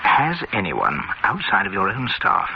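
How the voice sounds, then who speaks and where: A worldly gourmet speaks with easy, teasing confidence in a lowish voice that swoops up and down. It comes through a dull, muffled old radio recording with steady hiss. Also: male voice, unhurried, creaky, exaggerated voice. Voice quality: genial, assured, teasing. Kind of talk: vintage radio drama acting.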